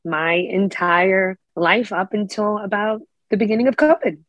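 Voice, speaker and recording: A woman's voice: mid-range voice, measured pace, a bit bright, no echo, muffled recording. A middle-aged woman from Brooklyn with a New York accent, chatting casually as a guest, laughing often and filling with "you know". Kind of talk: casual interview chat.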